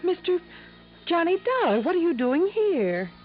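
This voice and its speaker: A woman's voice: extremely high pitch, very animated, moderate pace, faint music behind. An actress playing an agitated, pleading young woman in a 1950s radio drama. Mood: startled, pleading. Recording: thin, phone-like vintage radio recording.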